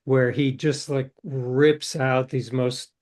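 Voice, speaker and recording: A middle-aged man, a novelist guest in an interview, reflecting in a relaxed, storytelling way with frequent restarts. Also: medium pitch, takes it slowly.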